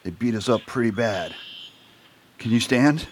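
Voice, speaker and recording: A man playing a beleaguered but stubborn marshal in an audio western, with a creaky voice. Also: medium pitch, lively intonation, measured pace, slight background noise, close to an everyday voice. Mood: groggy, resolute. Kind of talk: amateur radio western acting.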